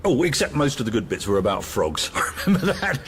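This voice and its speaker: A man's voice: very animated, fast, a bit bright, a little hiss or hum. An actor playing a giddy, exhausted man who rambles and giggles in a radio comedy drama. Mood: helpless giggling. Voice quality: high, giggly. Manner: rambling, breaks into wheezy laughter. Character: giddy, exhausted man helplessly laughing as he tells truths.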